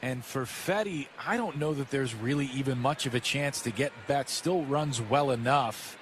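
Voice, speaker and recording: A man's voice: mid-range voice, moderate pace. A man with an American accent calling a baseball game live as a radio play-by-play announcer. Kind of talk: live radio sports commentary. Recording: clear crowd and ballpark noise behind. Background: outdoor ballpark ambience.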